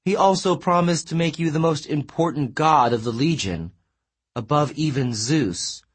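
A man's voice: fairly high pitch, normal speed, husky, voice pushed up. A man voicing a stern, dry goddess.

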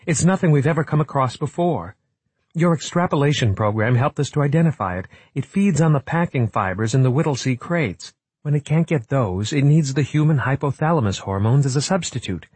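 A man acts out a young female scientist in an audiobook, determined and earnest. The voice is a little husky and close, with an explanatory, serious manner. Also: mid-range voice, lively intonation, a little fast, darkish tone, no echo, voice pushed up. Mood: urgent explaining. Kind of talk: audiobook character acting.

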